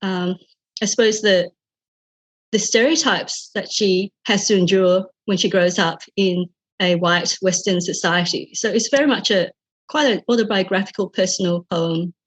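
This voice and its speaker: A woman's voice: mid-range voice, normal speed, slightly rough, low-quality recording. A woman with an Australian accent, a poet and critic, speaking fluently and conversationally over a video call. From Vietnam, raised in Australia. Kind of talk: casual video call chat.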